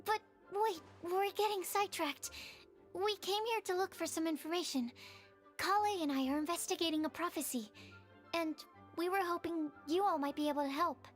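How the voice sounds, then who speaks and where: A woman's voice: very high voice, moderate pace, soft background music. A woman playing a shy, earnest young researcher in a video game, speaking carefully and a little tentatively. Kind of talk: scripted video game dialogue.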